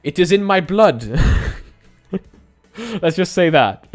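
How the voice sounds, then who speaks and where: A man's voice: a little high, animated, slow pace, faint music behind. A man in his twenties, born in Poland and native in Polish, chatting candidly on a live stream and answering viewers with easy laughs. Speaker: YouTuber.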